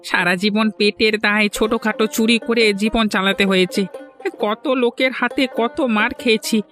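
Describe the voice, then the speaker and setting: A man's voice: sits high, fairly even intonation, moderate pace, sharp tone. A man voicing a scheming middle-aged village thief in a cartoon, theatrical and ingratiating, with a West Bengal Bengali accent. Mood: earnest. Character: scheming middle-aged village thief turned eager fish-hotel owner. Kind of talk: cartoon voice acting. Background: music.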